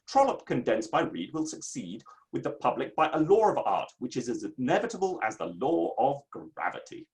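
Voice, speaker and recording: A man's voice: mid-range voice, big pitch swings, moderate pace, hardly any pauses, close to an everyday voice. A man playing a pompous, self-satisfied Victorian playwright in a theatrical dramatic reading. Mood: defensive, haughty. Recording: online reading from home setup.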